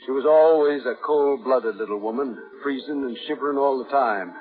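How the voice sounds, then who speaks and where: A man playing a domineering, quietly deranged husband with a folksy, matter-of-fact menace in a 1950s radio thriller. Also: mid-range voice, normal speed, natural voice. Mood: eerily matter-of-fact. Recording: tinny old radio broadcast with music.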